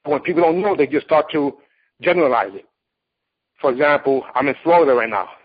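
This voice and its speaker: A man's voice: on the high side, fast, husky. A man in his late forties, a martial arts competitor and teacher, speaking bluntly and emphatically in an interview as he tells his story. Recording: dull lo-fi recording.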